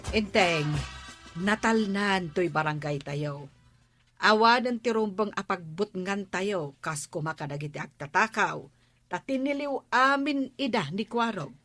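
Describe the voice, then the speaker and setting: An actress playing a chatty, inquisitive village woman in a radio comedy drama, with an animated, prodding manner. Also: mid-range voice, measured pace, no echo, faint music behind. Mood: curious, insistent.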